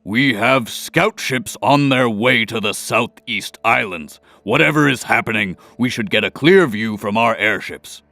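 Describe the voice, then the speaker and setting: A man's voice: medium pitch, unhurried, hardly any pauses, sharp tone, no room sound, soft background music. A man playing a commanding, impatient king with an authoritative manner in a fantasy audio drama. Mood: stern, impatient.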